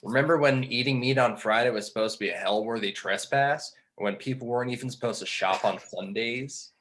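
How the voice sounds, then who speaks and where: A man playing a skeptical, bookish fallen angel in a film script table read, articulate and measured. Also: mid-range voice, a bit bright, slight background noise, close to an everyday voice.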